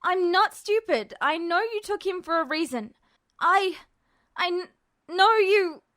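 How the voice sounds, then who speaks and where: Woman voicing a desperate, defiant young man, high-pitched. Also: a little slow. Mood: desperate, panicked. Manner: stammering, shaky, forceful outbursts.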